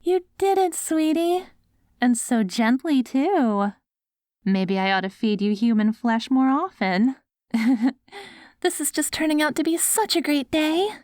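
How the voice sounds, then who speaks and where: Casting: woman to play doting postapocalyptic wife for ASMR roleplay, higher-pitched voice, sweet and patient coaxing, slow delivery. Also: darkish tone, no room sound, clean studio sound. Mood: encouraging, then pleased, upbeat. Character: doting wife caring for her ghoul husband after apocalypse. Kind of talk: ASMR roleplay audio drama.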